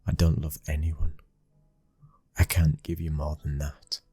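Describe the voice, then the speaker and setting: Very deep, husky British mafia heir, acted by a man. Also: little pitch movement, no echo, soft background music, heavily acted. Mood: cold, guarded. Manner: slow, hushed, commanding. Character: cold, dominant young mafia heir, protective new husband. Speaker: ASMR roleplay performer. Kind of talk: ASMR boyfriend roleplay acting.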